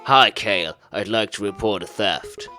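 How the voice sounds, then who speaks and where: A man's voice: lowish voice, moderate pace, pushed and bright, soft background music, pitched below the performer's own voice. A man voicing an earnest, food-obsessed office worker from a visual novel, dramatic and easily wounded. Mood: wounded, worried. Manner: dramatic, savoring descriptions.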